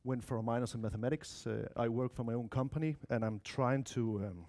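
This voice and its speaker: A man's voice: mid-range voice, fairly quick, a little mellow. A man in his thirties with a Danish accent gives an informal technical talk, speaking in a chatty way with asides to his audience. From Denmark or Spain, of mixed Spanish-Danish family. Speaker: conference speaker, software developer. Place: lecture room, indoors.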